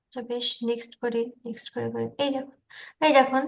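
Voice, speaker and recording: Woman, high voice, hesitant and unsure, casual screen walkthrough over a dull online call. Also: level tone, fast talker, vocal fry. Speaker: participant demonstrating screen.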